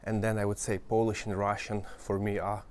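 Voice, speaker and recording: A man's voice: on the low side, completely flat delivery, a little fast, darkish tone, slight background noise. Ukrainian-accented man chatting through a walk. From Western Ukraine. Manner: chatty, pointing things out to viewers. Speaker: travel vlogger. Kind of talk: casual walking travel commentary. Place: outdoors walking through an old town.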